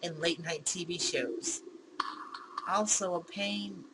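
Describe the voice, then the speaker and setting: Woman, let's play narrator, unhurried pace, mid pitch, bemused and giggly, clear background noise. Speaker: gaming YouTuber. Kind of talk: let's play, reading a visual novel aloud.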